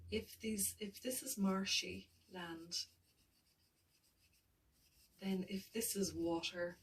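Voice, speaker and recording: A woman's voice: lowish voice, recorded from a distance, a little hiss or hum, poor audio. A woman art tutor talking through her painting as she works, thinking aloud, often mumbling and trailing off.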